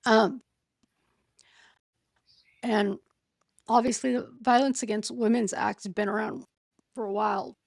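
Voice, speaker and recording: A hoarse woman reading a court filing aloud and pausing to think aloud between passages. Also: fairly high pitch, steady tone, dry recording. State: hoarse, losing her voice. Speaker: true-crime commentator.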